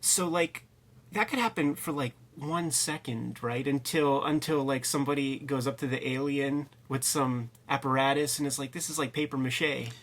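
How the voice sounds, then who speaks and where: A man's voice: mid-range voice, normal speed, fairly bright tone. A man co-hosting a review show in casual, slangy, rambling commentary. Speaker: co-host of a comics review show.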